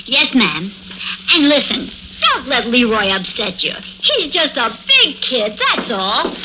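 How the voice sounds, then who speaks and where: Obliging roadside waitress, high animated voice, colloquial, old radio play, noisy recording. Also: female voice, takes it slowly. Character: chatty, folksy roadside diner waitress. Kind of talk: mid-century radio drama acting.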